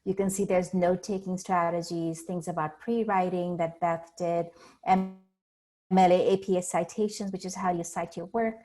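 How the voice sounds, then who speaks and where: A woman's voice: fairly low pitch, steady tone, moderate pace, warm tone, soft background music. A woman from India with an Indian English accent, presenting online in an upbeat, inviting way. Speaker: learning center staff.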